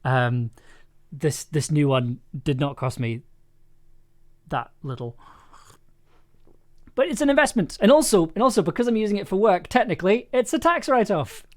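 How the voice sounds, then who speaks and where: A man chatting casually on a livestream as he works, enthusiastic but halting, repeating words and letting thoughts trail off. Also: high voice, sing-song. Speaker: audio drama maker livestreaming an editing session. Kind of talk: casual livestream chat while working.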